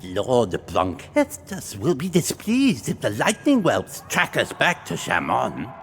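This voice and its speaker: An actor playing a fretful, boastful plague-worshipping villain in a fantasy game, fussing theatrically. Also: male voice, medium pitch, wildly swooping pitch, slow pace, gravelly, fairly bright tone, faint music behind, full character voice. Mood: anxious bluster.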